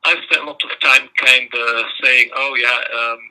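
Belgian-accented man, hesitant, very bright. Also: mid-range voice, fairly even intonation, moderate pace, low-quality recording. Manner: hesitant, many ums. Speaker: guest researcher. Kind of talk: interview answers.

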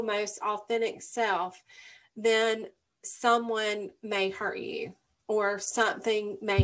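Slow, thoughtful woman with a higher voice. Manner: thoughtful, open, searching for words. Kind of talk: casual conversation.

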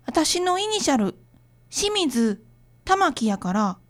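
A woman's voice: high voice, sing-song, takes it slowly, full character voice. A woman playing a young schoolgirl classmate with a Kansai accent in a radio drama. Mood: matter-of-fact.

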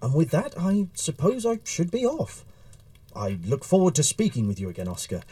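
A man acting in an audio drama as an earnest, dry, slightly awkward male Victorian writer, speaking in a formal, measured, wry way. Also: fairly high pitch, huge pitch swings, dark tone, no echo. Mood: warm farewell.

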